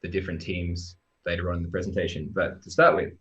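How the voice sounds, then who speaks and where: A dull, lo-fi video call carries a very low male voice with an Australian accent. He speaks informally as a guest, presenting like a developer introducing a team. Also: flat delivery, quick pace, fairly bright tone. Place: remote online live stream.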